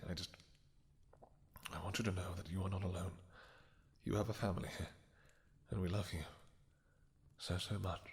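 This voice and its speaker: Vampire king, male actor: very low pitch, devoted and guilt-ridden, formal and emotional delivery, long throne-room-style reverb, romantic audio drama. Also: fairly even intonation, a little hiss or hum. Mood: tender, devoted. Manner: halting, emotional, formal archaic endearments. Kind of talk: intimate romantic fantasy audio roleplay.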